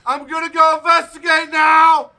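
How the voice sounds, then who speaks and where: A man playing a loud, simple, overeager American soldier in a comedic stage radio play. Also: extremely high pitch, little pitch movement, normal speed, voice pushed up, exaggerated voice. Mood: blustering. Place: outdoors, parking lot stage.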